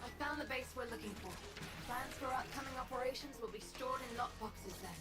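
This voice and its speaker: An actress playing a brisk female mission operator who gives urgent orders in a video game. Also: sits high, lightly acted. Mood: focused, commanding. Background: game music.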